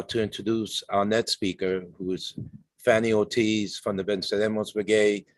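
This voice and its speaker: A man with a low voice hosts an event, introducing speakers in a halting, courteous and formal way. The sound is dry and close. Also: dry recording. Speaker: host, moderator.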